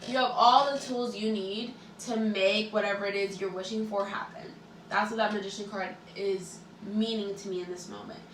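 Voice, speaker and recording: A chatty woman lays out tarot cards for her viewers, relaxed and full of little fillers. Her nose is a bit stuffy and her throat a little sore from allergies. The sound is echoey, with faint room hum underneath. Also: medium pitch, moderate pace, recorded from a distance. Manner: chatty, relaxed, many likes and ums. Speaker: tarot reader and astrologer. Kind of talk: casual tarot reading for viewers.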